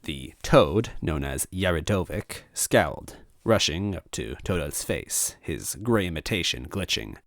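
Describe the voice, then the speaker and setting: A man reading a first-person story aloud as an animated narrator. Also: low-pitched, measured pace, no room sound, studio quality. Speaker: story reader.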